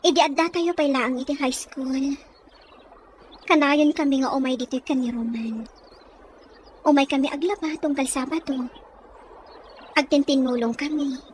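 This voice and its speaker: High-pitched young Filipina with an Ilocano accent played by a woman, wistful, lovelorn daughter in radio-style drama, noisy recording. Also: fairly even intonation, normal speed, long pauses, natural voice. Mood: nostalgic. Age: young adult. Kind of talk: Ilocano audio drama acting.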